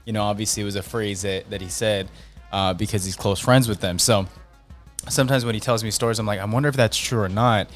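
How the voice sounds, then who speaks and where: A man's voice: fairly low pitch, steady tone, fast, faint music behind. A man co-hosting a casual chat, curious and prodding with follow-up questions.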